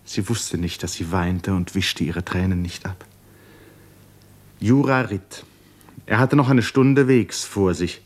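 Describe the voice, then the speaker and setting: Low-voiced solemn male radio narrator. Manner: solemn, articulate, expressive pauses. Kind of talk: dramatic literary narration, 1950s German radio drama.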